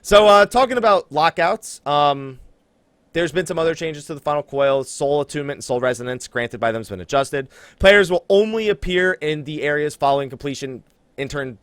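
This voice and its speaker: A man hosting a casual gaming podcast, chatting in an animated, teasing way with a co-host. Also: fairly high pitch, brisk, dry recording.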